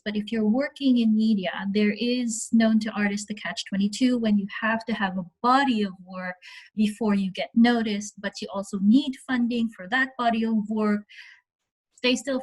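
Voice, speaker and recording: A woman with a mid-pitched voice answers as a founder on an online panel. She gives measured advice, phrasing it deliberately and with emphasis. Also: poor audio. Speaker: media software founder on a panel.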